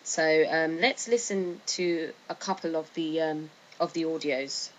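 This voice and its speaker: Female narrator, low register, hesitant informal explainer, slightly noisy recording. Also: steady tone, measured pace. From United Kingdom. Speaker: video narrator. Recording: faint background noise.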